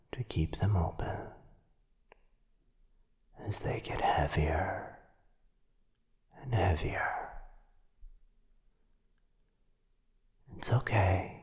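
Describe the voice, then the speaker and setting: A gentle, protective male vampire, acted by a man for an ASMR audio roleplay. His voice sits very low and is heavily breathy and husky. He speaks slowly and softly, with hushed, soothing pauses. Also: exaggerated voice. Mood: hypnotic, soothing. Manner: slow, hushed, halting, soothing delivery.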